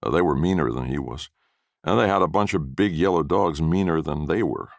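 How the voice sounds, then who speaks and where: A man's voice: extremely low pitch, fairly quick, gravelly, dry recording. A man reading a first-person story as narrator in a folksy storytelling manner.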